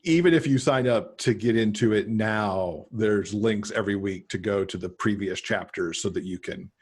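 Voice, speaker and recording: A man's voice: on the low side, moderate pace, slightly rough. A middle-aged man chatting casually about his work as a guest author on a video call. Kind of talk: casual video interview chat. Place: indoor room, video call.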